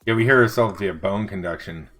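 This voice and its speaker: A middle-aged man with a deep voice, chatting casually on a livestream as he draws and answers chat. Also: brisk, faint music behind. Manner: relaxed, rambling, reads and answers chat. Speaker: livestreaming vector artist. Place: home studio desk.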